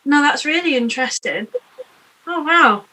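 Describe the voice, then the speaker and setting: A woman's voice: high voice, lively intonation, normal speed, bright, forceful tone, rough recording. A woman from the UK hosting a podcast, chatty and eager, often affirming her guest. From United Kingdom. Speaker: podcast host. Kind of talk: casual interview chat.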